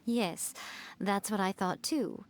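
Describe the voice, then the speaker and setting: An actress as an elegant fox shrine maiden from a fantasy game, sly and amused. She speaks at a middling pitch with poised, teasing composure, over faint background noise. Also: slow, natural voice. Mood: sly amusement. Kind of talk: video game character acting.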